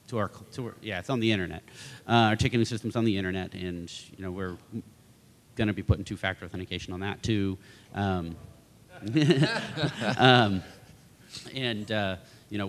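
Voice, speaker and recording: A man speaking as a panelist at a conference, talking in a conversational way with many fillers and restarts. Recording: long hall reverb, faint rumble.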